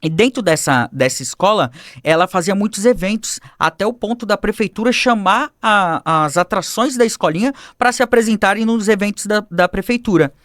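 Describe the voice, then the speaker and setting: A man telling his life story as a podcast guest, animated and acting out the people he quotes, on a studio mic. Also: sits high, moderate pace, dry recording. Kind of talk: personal storytelling in a podcast interview.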